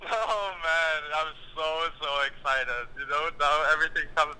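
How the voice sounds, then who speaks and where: A man chatting casually on a phone call, effusive and excited, heard over a speaker. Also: fairly high pitch, moderate pace, clear, resonant tone. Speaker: friend and collaborator on a phone call.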